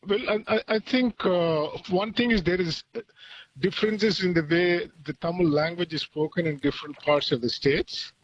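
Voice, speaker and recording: A man from Chennai calls in to a radio show, speaking thoughtfully and slowly finding his words. His voice is a little high for a man. The line sounds muffled and dull. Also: no echo. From Chennai, India. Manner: thoughtful, hesitant, many fillers. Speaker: caller. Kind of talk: phone-in conversation.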